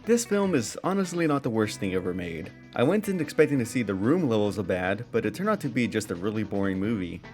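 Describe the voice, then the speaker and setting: A man's voice: on the low side, big pitch swings, fast, hardly any pauses, darkish tone. A man reviewing a film in casual, scripted video-essay narration with an informal manner. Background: background music under voice-over.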